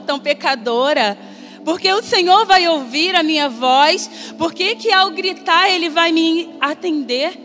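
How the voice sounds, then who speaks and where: A woman preacher with a Brazilian Portuguese accent, reading scripture and giving an earnest, measured sermon that rises in emphasis. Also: sits high. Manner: earnest, measured, rising emphasis on quoted voices. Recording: long reverb, soft music behind.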